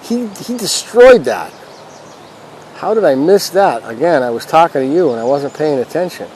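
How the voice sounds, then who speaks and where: A man's voice: on the high side, animated, moderate pace, a little mellow, low-quality recording. Folksy man chatting outdoors over river noise. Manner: chatty, folksy, talking while fishing. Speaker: fishing vlog host. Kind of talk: casual outdoor fishing commentary.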